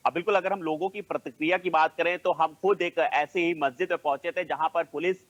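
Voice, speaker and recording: A man's voice: a little high, steady tone, quick pace, fairly bright tone, dull audio. A man with a North Indian accent reporting live as a news correspondent, hesitating and leaning on stock linking phrases, over a band-limited link.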